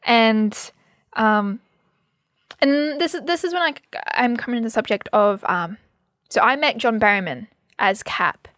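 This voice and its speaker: A woman's voice: a little high, unhurried, a bit bright, dry recording, studio quality. A young woman co-hosting a podcast, telling a story in a casual way with hesitant fillers. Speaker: podcast co-host.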